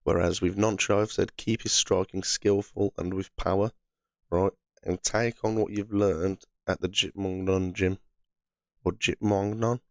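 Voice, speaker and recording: Hoarse, croaky low-voiced man. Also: level tone, measured pace, no room sound. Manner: chatty, frequent "right" fillers. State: hoarse, under the weather. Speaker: MMA channel host. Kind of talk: casual fight predictions monologue.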